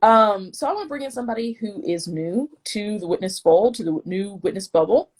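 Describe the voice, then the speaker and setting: A woman's voice: medium pitch, animated, brisk, darkish tone. A woman from the Midwest hosting a live stream in a chatty, self-deprecating way, often tripping over her words. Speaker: host, vice president of the collective.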